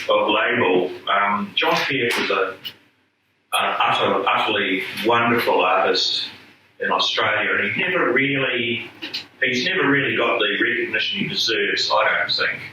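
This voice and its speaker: A man from New Zealand, a painter, talking casually at a gallery event, self-deprecating and halting with many restarts. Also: fairly low pitch, animated, noisy background. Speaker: painter guest. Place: gallery room. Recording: echoey room from far off.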